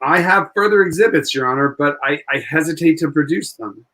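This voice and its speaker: A man plays a persistent young-sounding defense attorney in an old-time radio drama. His voice sits fairly high, and he argues with earnest courtroom formality. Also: a little fast, pushed and bright. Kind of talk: 1940s-style live radio play acting.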